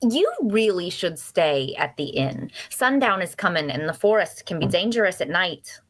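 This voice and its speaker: A woman's voice: fairly low pitch, lively intonation, normal speed. A woman playing a chatty, nosy and cheerful traveler in a fantasy audio drama, with a teasing, folksy manner. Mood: curious, warning. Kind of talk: dark fantasy audio drama table read.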